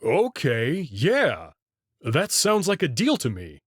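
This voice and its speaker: A man voicing a guarded male wolf who captains a ship, speaking in a measured, matter-of-fact way while reading a visual novel aloud. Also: on the high side, huge pitch swings, slightly rough, no room sound, studio quality, heavily acted.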